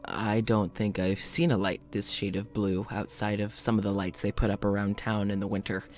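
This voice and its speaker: A man's voice: lowish voice, level tone, normal speed, darkish tone, natural voice. A man playing a polite, uneasy scientist in an audio drama, speaking in a reflective, hesitant, conversational way, as if into a journal. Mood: uneasy, curious. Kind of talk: audio drama monologue and dialogue.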